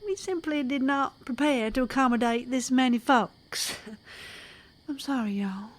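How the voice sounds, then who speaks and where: A woman's voice: high voice, a little slow, a little hiss or hum. A woman playing a melodramatic country mother in a comic audio drama, gushing and genteel. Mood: flustered, apologetic. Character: fluttery, melodramatic country farm wife and mother.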